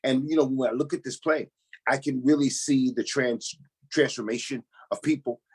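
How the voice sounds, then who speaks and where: A man's voice: medium pitch, fairly even intonation, moderate pace, slightly rough. A man answering as an interview guest in a conversational, earnest and emphatic way, circling back with frequent "you know". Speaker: pastor and cast member, interview guest.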